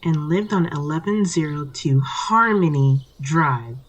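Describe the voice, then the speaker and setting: A woman's voice: deep voice, lively intonation, unhurried. Woman playing a prim, sing-song fairy-tale storyteller. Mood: storybook sing-song.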